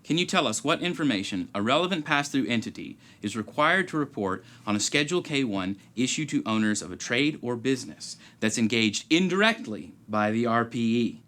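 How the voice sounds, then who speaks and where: Man doing noir private detective, clipped, gravelly drawl, bright voice, animated delivery. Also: medium pitch, a little fast, few pauses, natural voice. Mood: explaining. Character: hard-boiled male private eye, clipped and dry. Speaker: detective lead in a tax explainer skit. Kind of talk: film-noir style acting.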